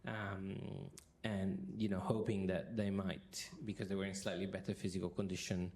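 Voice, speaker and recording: Low flat-voiced male interviewer. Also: normal speed, slight background noise. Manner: hesitant, many fillers and restarts. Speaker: interviewer, history professor. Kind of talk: moderating a public interview. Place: university hall with an audience.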